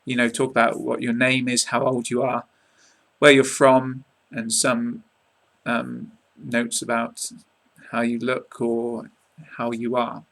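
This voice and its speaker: A young English man in his twenties with an English accent, giving an online lesson to a webcam in a small room, speaking in a clear, measured teaching manner with casual asides. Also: medium pitch, completely flat delivery, a bit bright. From England. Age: 20s. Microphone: webcam at close range.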